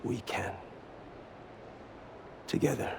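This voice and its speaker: An actor playing a bitter, fanatical assassin in a video game, with an intense, oratorical delivery. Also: male voice, takes it slowly, noisy background, natural voice. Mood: hushed, fervent. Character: fanatical, bitter male assassin, betrayer of his leaders. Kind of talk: dramatic video game cutscene acting.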